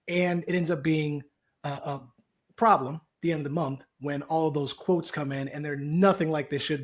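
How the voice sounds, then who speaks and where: A man hosting a casual webinar, upbeat, loose and jokey, speaking over a video call. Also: on the high side. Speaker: webinar host, co-founder.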